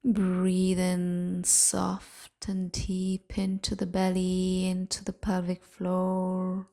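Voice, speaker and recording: A woman leading a guided sleep meditation in a hushed, soothing, softly breathy way, leaving long pauses. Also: lowish voice, monotone.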